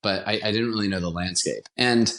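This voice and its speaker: A man's voice: on the low side, fairly quick, a bit bright. A man speaking as a guest in a casual podcast interview, with an enthusiastic, conversational manner. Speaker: interview guest, radio theater producer.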